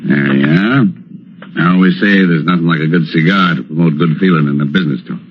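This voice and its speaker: A man's voice: low-pitched, fast talker, lightly acted. An actor playing a falsely genial, menacing crime boss with slangy American tough-guy talk, in 1940s radio suspense style. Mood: oily, pointed. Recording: muffled, noisy vintage radio recording.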